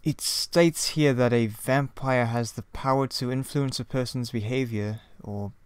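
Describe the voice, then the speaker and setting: A man's voice: mid-range voice, fairly even intonation, measured pace, clean tone, no room sound, studio quality, close to an everyday voice. A man playing a bewildered young vampire. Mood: puzzled. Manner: puzzled, reading aloud.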